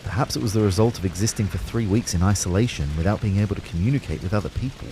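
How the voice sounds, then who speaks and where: An actor playing a bewildered male ghost, narrating his afterlife in a brooding, measured way for a scripted audio drama. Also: on the low side, mellow, a little hiss or hum, close to an everyday voice.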